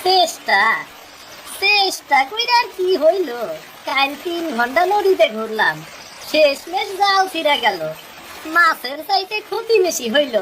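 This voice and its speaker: A woman's voice: extremely high pitch, very animated, a little slow, fairly bright tone, slight background noise, heavily acted. A woman voicing a poor, bitter young fisherman with an East Bengali accent, complaining in a plaintive way.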